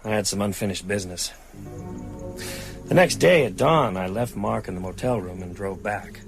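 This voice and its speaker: An actor playing a weary, haunted novelist in a radio drama, narrating in a sombre, measured, reflective way. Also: male voice, fairly low pitch, vocal fry, faint music behind. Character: haunted, weary male novelist recounting vampire horror. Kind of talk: dramatic first-person narration.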